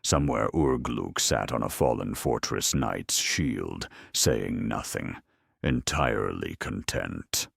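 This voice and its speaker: Man, rock-bottom pitch, gravelly growl, unhurried pace, grave dramatic war-story narration. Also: no echo, clean studio sound.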